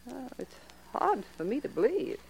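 An actress playing a murderer's anxious, probing wife in a 1940s radio crime drama, questioning with dramatic insistence. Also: female voice, fairly high pitch, huge pitch swings, normal speed, vocal fry, a little mellow, slight background noise, full character voice, rough recording. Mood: awed, fearful.

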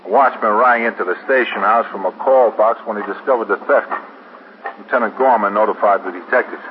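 An actor playing a chatty, respectful New York police sergeant in a 1950s radio drama, folksy and a little hesitant. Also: male voice, lowish voice, fairly quick, close to an everyday voice. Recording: tinny, noisy 1950s radio recording.

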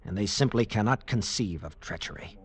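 An actor playing a haunted, defiant captive lieutenant, telling his ordeal with grave intensity and dramatic pauses in an old radio drama. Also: on the low side, expressive, lightly acted. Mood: urgent, defiant. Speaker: narrator and protagonist. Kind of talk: dramatic first-person radio narration, 1940s-50s.

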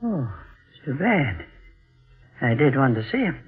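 An actor playing a sly, genteel elderly blackmailer in a 1940s radio mystery, with a mannered, mock-courteous way of talking. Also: male voice, medium pitch, big pitch swings, measured pace, breathy edge, exaggerated voice. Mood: sly, needling. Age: older. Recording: muffled vintage radio broadcast, faint music.

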